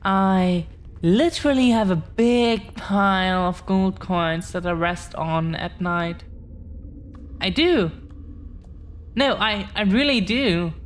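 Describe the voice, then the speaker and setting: A woman playing an affectionate, proud dragon-blooded girlfriend in an intimate audio roleplay, talking in a teasing way with long pauses. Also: medium pitch, natural voice. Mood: proud, bragging. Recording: clear background noise.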